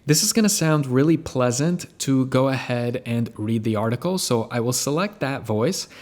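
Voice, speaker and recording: Man walking through a software tutorial. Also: mid-range voice, animated, moderate pace. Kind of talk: casual screen-share tutorial narration.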